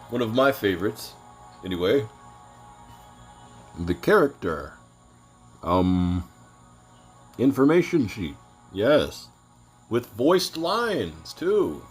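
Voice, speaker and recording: A man's voice: lowish voice, sing-song, takes it slowly, long pauses, faint music behind. A man hosting a video, talking casually and hesitantly with fillers. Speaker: video host. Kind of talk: casual video intro and outro.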